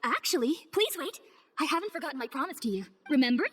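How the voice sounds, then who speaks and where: A woman's voice: high voice, animated, fast, a little hiss or hum. A woman voicing a devout, eager young female scholar in a game, effusive and reverent with formal wording. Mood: earnest, eager. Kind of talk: anime-style game dialogue. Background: faint background music.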